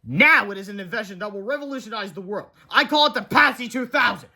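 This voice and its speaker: A high-pitched schoolboy genius, acted by a man reading aloud, eager and boastful. He presents his gadget in a showy, lecturing way, like a proud little professor from a children's storybook. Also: expressive, fast talker, sharp tone, exaggerated voice.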